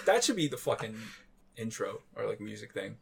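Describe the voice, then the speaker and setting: A man's voice: fairly low pitch, very animated, brisk, creaky, slight background noise. A man co-hosting a podcast, joking and telling casual stories to friends with plenty of swearing. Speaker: podcast co-host. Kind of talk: casual profane banter and anecdotes.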